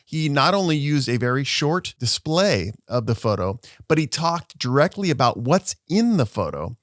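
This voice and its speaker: A man's voice: medium pitch, sing-song, moderate pace, no room sound. Upbeat man explaining, dry close sound. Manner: upbeat, explanatory, talks viewers through clips. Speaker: social media lawyer. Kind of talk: explainer video commentary.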